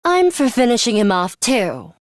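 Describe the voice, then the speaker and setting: An actress playing a stern, aloof young woman in a video game, speaking in a curt, commanding, deliberate way. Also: fairly high pitch, very animated, no room sound, clean studio sound. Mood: stern, urgent. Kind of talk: scripted video game character lines.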